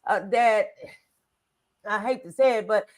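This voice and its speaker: A woman's voice: mid-range voice, a little slow. A woman hosting a motivational talk show, speaking in an earnest, emphatic, conversational way.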